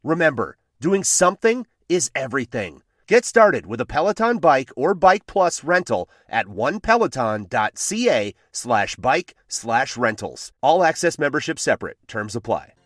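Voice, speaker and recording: Guy doing an ad read, lively swings in pitch, dry studio sound. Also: moderate pace, few pauses, dry recording. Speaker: advertisement announcer. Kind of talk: scripted ad read.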